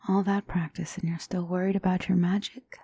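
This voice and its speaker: A woman playing a gentle demonic mentor in an ASMR fantasy roleplay, speaking softly with formal, measured, reassuring diction and often near a whisper. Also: on the low side, slightly rough. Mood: gentle concern. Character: gentle demonic tutor, female mentor to a young royal.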